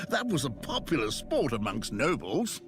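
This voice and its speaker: An actor playing a chatty, drily amused priest telling rambling stories in a video game. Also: male voice, mid-range voice, huge pitch swings, measured pace, music in the background. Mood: wryly amused. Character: chatty, wry priest and shepherd of a church. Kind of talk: video game character dialogue.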